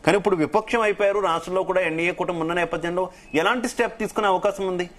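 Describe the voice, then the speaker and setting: Higher-voiced male news anchor. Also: racing. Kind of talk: live studio questioning of a reporter.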